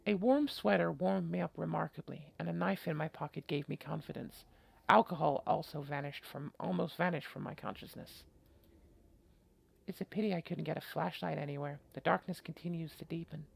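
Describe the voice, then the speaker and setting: A game player voicing a wry, self-reproaching female visual novel protagonist who narrates in deliberate, literary first person. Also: mid-range voice, creaky, slightly rough. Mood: wary, resolved.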